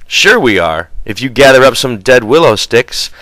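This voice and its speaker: Man voicing gruff, bossy farmhand, brusque. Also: mid-range voice, very animated, moderate pace, bright, forceful tone, dry recording, pitched above the performer's own voice, poor audio. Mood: curt, bossy. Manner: brusque, commanding. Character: gruff, bossy, short-tempered male itinerant farm worker.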